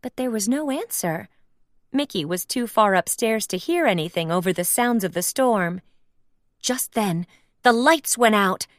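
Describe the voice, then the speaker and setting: Female narrator for a kids' read-along, expressive and unhurried, with a close, dry sound. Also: mid-range voice, no echo. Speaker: storybook narrator.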